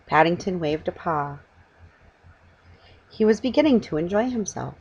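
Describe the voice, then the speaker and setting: A middle-aged woman reads a children's story aloud. Her voice sits fairly low with a husky grain, and it moves up and down freely. The delivery is a slightly halting home reading. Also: lively intonation, a little mellow, highs cut off. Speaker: amateur storybook reader. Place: room at home, indoors.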